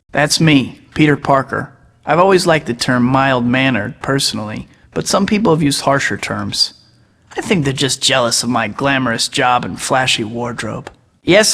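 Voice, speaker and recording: A man's voice: mid-range voice, long reverb tail, natural voice. An actor playing a sarcastic young male superhero in a video game, full of teasing quips. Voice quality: bright. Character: wisecracking young male superhero, cocky and joking. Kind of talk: quippy game voice clips.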